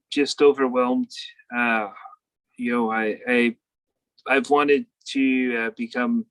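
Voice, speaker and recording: A newly ordained novice priest giving halting, heartfelt thanks, full of pauses and restarts. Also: male voice, mid-range voice, a bit bright, clear, resonant tone, dry recording, rough recording. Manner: hesitant, full of fillers and restarts.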